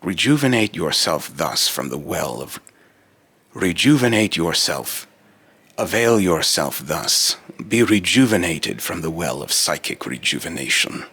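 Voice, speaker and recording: A man voicing a solemn, otherworldly immortal being in a deep, raspy robotic voice, with grandiose, archaic, halting phrasing in a dramatic monologue. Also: big pitch swings, fairly bright tone, no echo, heavily acted. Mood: solemn, instructive.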